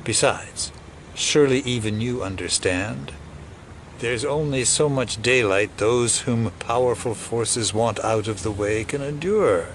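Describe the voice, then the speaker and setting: A man's voice: medium pitch, measured pace, lightly acted. Condescending scheming conspirator, played by a man, noisy backdrop. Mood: smug, condescending. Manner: formal, condescending, verbose. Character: cold, scheming older conspirator, a clone of himself. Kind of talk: audio drama acting. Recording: clearly noisy background ambience.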